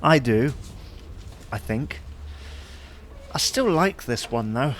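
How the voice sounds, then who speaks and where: Man acting a grumbling, henpecked husband, mid-pitched. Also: animated, slow pace, a little hiss or hum. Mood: dubious. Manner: grumbling, dry, mild protest. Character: reluctant, stubborn, henpecked husband with poor taste. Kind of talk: domestic comedy audio drama acting.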